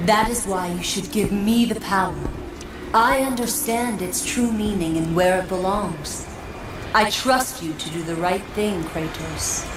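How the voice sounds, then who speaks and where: A woman's voice: medium pitch, measured pace, background noise, natural voice. A woman playing an imperious, manipulative spectral goddess in a video game, speaking in a solemn, stately, theatrical way. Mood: earnest persuasion. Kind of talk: dramatic video game cutscene acting. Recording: echoey, distant voice under loud music. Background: loud cinematic game score.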